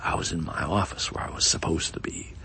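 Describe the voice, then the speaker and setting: A man's voice: brisk, pushed and bright, natural voice. A man voicing an evasive, self-righteous woman politician testifying in a dramatic reading, hesitant and sanctimonious.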